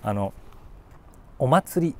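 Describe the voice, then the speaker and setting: A man in his forties talking casually about a book, thinking aloud and reading short lines with animated emphasis. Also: medium pitch, very slow. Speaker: YouTube host, voice actor. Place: outdoors on a city rooftop.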